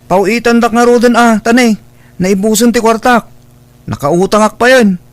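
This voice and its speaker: Man acting very high-pitched, petulant Filipino youth. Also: moderate pace, no echo. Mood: demanding. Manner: petulant, curt. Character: sullen, demanding, disrespectful young son. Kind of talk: Ilocano radio drama acting.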